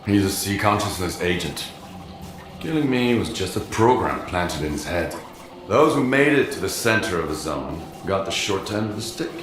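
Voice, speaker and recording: An actor playing a stern, world-weary outcast in a video game, terse and accusing. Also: male voice, deep voice, lively intonation, moderate pace, echoey room, noisy background. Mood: grim, explaining. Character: grim, world-weary male outcast of a forbidden zone. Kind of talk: video game cutscene acting.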